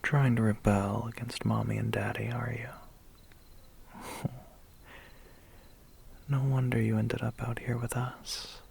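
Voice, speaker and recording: A man voicing a suave, menacing vampire biker in an ASMR roleplay, slow and teasing with a breathy, gravelly half-whisper. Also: medium pitch, little pitch movement, a little hiss or hum. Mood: amused, teasing. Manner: slow, teasing, quietly threatening.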